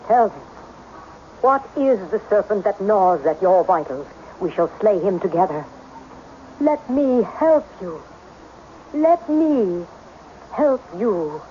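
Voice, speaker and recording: A woman's voice: medium pitch, sing-song, slow, long pauses. An actress playing an intense, mysterious woman who probes souls in solemn, commanding phrases, in vintage radio drama style. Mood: insistent, probing. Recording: dull, faintly noisy old radio recording.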